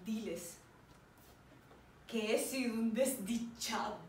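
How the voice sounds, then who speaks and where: Slow, tragic general, acted by a woman in an echoey room. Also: fairly high pitch. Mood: remorseful. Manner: solemn, declamatory, deliberate pauses. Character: tragic male general, remorseful, proud, anguished. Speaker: drama student performing an exam monologue. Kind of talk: dramatic theatrical monologue. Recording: roomy, distant mic with faint noise.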